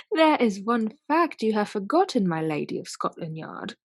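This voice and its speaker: Slow, haughty society villainess. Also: female voice, medium pitch, slightly rough, heavily acted. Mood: smug, contemptuous. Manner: disdainful, deliberate. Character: haughty, defiant society lady cornered as a murderess. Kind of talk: period mystery radio play acting.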